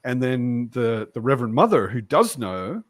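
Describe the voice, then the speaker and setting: A man's voice: medium pitch, big pitch swings, moderate pace, no room sound. A man talking casually as a participant in a book discussion.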